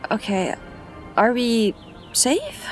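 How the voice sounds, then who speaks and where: A wry young mage heroine, voiced by a woman in a fantasy audio drama. She speaks slowly and deliberately, her nerves showing through a dry, self-mocking humor. Soft music plays faintly behind her. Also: fairly high pitch, wildly swooping pitch, exaggerated voice, low-quality recording. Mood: curious.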